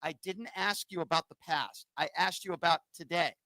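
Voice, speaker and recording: A man's voice: a little high, normal speed, sharp tone, no room sound, rough recording. Combative male call-in radio host. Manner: combative, sarcastic, talks over callers. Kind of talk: political call-in radio talk.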